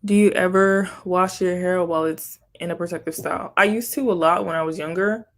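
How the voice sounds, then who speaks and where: A woman's voice: lowish voice, fairly even intonation, brisk. A young woman, born in Haiti and raised in Florida, chatting casually as she answers viewer questions, rambling and second-guessing herself. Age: young adult. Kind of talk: casual chat.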